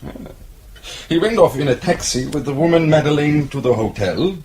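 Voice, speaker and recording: An actor playing a suave, sceptical police captain in a radio drama, questioning in a halting, insinuating way. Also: male voice, a little high, expressive, crowd noise, lightly acted. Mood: sly, sceptical. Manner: halting, insinuating, chuckling interrogator.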